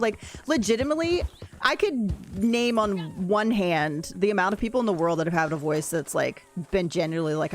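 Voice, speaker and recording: A woman with a deep voice, chatting casually and rambling while she plays a game on a livestream and answers her chat. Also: slight background noise. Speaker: streamer. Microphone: desk mic, no camera.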